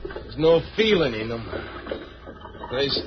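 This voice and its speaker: Groggy captured cowboy, slow, swooping pitch, vintage radio acting. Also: male voice, sing-song. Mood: dazed. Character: captured western hero, groggily coming to. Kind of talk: old-time radio western acting. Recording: muffled, noisy vintage radio recording.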